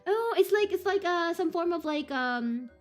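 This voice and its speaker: A woman's voice: extremely high pitch, expressive, moderate pace, soft background music. A young woman streaming a visual novel, reading it aloud and chatting casually with frequent fillers. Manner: rambling, giggly, lots of fillers.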